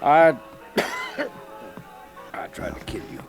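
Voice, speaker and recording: An actor playing a hot-headed, boastful cowboy with a drawling rural Western American accent in an old-time radio Western. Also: male voice, slow pace, lightly acted. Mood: dying, mournful. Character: blustering, hot-headed Old West cowboy, theatrically self-pitying. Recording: old radio drama with background music.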